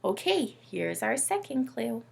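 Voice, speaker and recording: Woman, children's read-aloud host. Very lively pitch, heavy creak, teacherly and question-led. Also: unhurried. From Canada. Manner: animated, teacherly, asks listeners questions. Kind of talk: children's storytime presenting.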